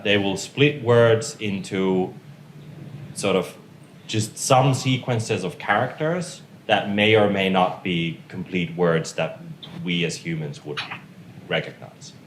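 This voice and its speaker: Low-voiced Finnish man lecturing in an echoey room. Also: slow. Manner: informal, joking with the audience. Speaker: conference tutorial presenter. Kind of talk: technical lecture. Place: conference room. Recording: echoey room with faint noise.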